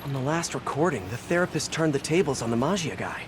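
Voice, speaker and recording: A man's voice: medium pitch, lively intonation, quick pace, noisy background, lightly acted. An actor playing a young, quippy superhero investigator with an American accent, earnest and wry as he thinks aloud in a video game. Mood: thoughtful. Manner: conversational, wry, muses to himself. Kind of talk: video game voice acting, monologue.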